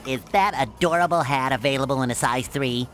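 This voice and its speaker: A man voicing an eager, naive boyish sea sponge in a cartoon game, squeaky, nasal and perky. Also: brisk, fairly bright tone, soft background music, lightly acted. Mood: polite concern. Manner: perky, earnest, over-eager. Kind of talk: cartoon game character acting.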